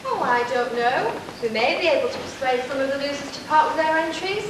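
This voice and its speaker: Woman as a high, lively pantomime courtier. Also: a little fast. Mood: self-assured. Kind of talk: amateur pantomime stage acting. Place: church hall stage, recorded from afar. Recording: faint, distant, echoey hall with noise.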